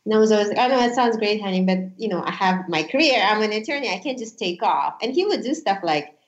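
A woman in her forties, a Russian-speaking immigrant from Uzbekistan, sharing her story as a guest in an earnest, animated way. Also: mid-range voice, fast, roomy sound, dull audio. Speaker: guest, former attorney. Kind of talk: casual interview chat.

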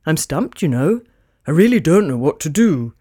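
A woman's voice: low-pitched, sing-song, moderate pace, dark tone, no echo, clean studio sound, voice pushed down. A woman voicing a kindly, fretful old male Santa in a children's storybook with fussy, old-fashioned phrasing. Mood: dismayed.

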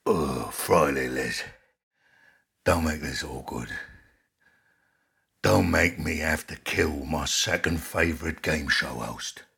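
An actor in an audio story playing a huge male thug with a slow, deliberate, mock-friendly menace and a gravelly, husky voice. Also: very deep voice, sharp tone, heavily acted. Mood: cold, taunting. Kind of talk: dramatised audio story dialogue.

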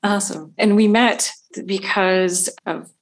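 A woman's voice: medium pitch, slow pace. A woman hosting a podcast interview, affirming and encouraging toward her guests. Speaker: podcast host.

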